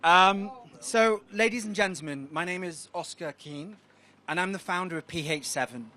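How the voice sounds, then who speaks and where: A man giving a loud, confident startup pitch on a moving tram, bantering with hecklers. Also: moderate pace.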